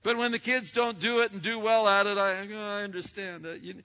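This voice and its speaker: A man's voice: high voice, steady tone, brisk, bright, forceful tone. A middle-aged male pastor preaching a sermon to his congregation in a big, emphatic voice, with many stammered restarts. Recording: lo-fi recording.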